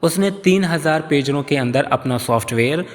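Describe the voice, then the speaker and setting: A man's voice: on the high side, moderate pace. A man giving scripted explainer narration in an explanatory, storytelling manner. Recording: long reverb on voice.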